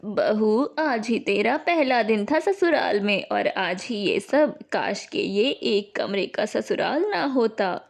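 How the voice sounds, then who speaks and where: A worried older mother-in-law from a family storybook tale, acted by a woman. She speaks slowly and hesitantly, blaming herself, with a lively swing in her pitch. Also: vocal fry, full character voice. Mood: apologetic, regretful.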